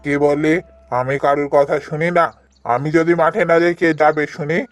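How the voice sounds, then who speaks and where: A man's voice: on the high side, steady tone, moderate pace, no room sound, close to an everyday voice. A man voicing a hard-working, protective elder brother, an earnest village farmer, in a cartoon. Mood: protesting. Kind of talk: cartoon voice acting.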